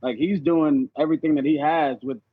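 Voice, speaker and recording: Male podcast guest, husky and fairly high, emphatic in casual debate, slightly dull recording. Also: steady tone, fairly quick, no room sound.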